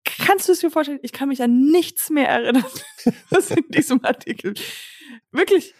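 A woman's voice: high-pitched, moderate pace, a little mellow, no room sound, studio quality. A young woman from Germany co-hosting a podcast, chatting loosely and rambling, with English phrases mixed in. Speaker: podcast co-host. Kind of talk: casual chat.

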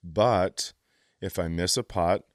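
A man's voice: low-pitched, takes it slowly, no room sound, studio quality. An American man hosting a comedy podcast, telling casual stories with a profane, exasperated ranting streak. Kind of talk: casual comedic chat and storytelling.

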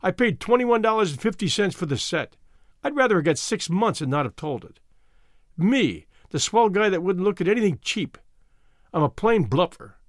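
A man's voice: sits high, very animated, normal speed, no room sound, clean studio sound, voice pushed up. A man voicing a proud, hot-tempered young ex-gangster in a storybook, blunt, slangy and emphatic. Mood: bitter.

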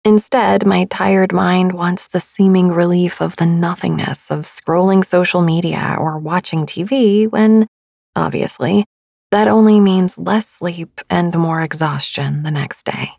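A woman narrates a sleep meditation podcast in a hushed, soothing manner. Her voice sits a little low, with a husky grain and frequent fry at the edges. The sound is close and dry. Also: measured pace, no room sound. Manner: soothing, hushed, partly whispery delivery. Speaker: podcast host.

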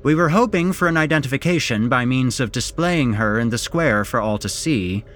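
A man's voice: medium pitch, animated, normal speed, a bit bright, faint music behind, pitched above the performer's own voice, close to an everyday voice. A man voicing a pompous male town mayor in an audiobook, declaiming a formal, officious public proclamation. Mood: officious, declamatory.

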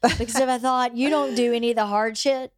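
Chatty podcast host with a cold. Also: female voice, fairly high pitch, steady tone, a little fast, no echo, studio quality. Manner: chatty, candid, swears casually. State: has a cold, losing her voice. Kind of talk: casual chat between friends.

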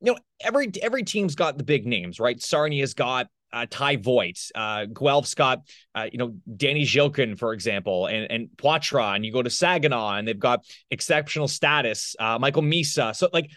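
A man's voice: medium pitch, a bit bright, no room sound, studio quality. A man co-hosting a sports podcast in casual, loose analysis, rephrasing as he goes.

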